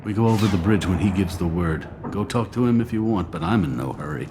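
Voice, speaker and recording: A sardonic, reluctant hired gun for a video game. The voice is low and wary, set against clear noise and gunfire. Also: male voice, expressive, fast talker. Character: wary, sardonic male freelance mercenary.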